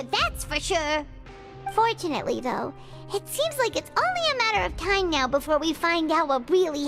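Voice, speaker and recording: A woman voicing a tiny, chatty fairy companion girl who talks about herself in the third person, with game music behind. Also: very high-pitched. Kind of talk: game voice acting.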